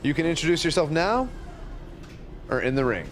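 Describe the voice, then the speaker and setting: A man's voice: fairly high pitch, big pitch swings, moderate pace. A man playing a stern but supportive wrestling boss in a video game, frank and businesslike. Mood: firm. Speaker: wrestling show authority figure. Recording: faint background noise.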